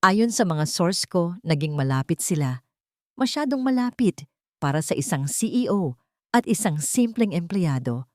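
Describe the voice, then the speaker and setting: A woman voicing a serious male investigator in an audio drama, speaking deliberately and directly. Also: lowish voice, very animated, dark tone, no room sound, studio quality. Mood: grave, direct.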